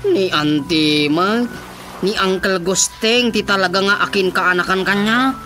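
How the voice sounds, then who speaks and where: A man's voice: sits high, a little slow, sharp tone, soft background music. An actor with an Ilocano Filipino accent playing a chatty, cheeky young male relative in a radio drama comedy. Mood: explaining.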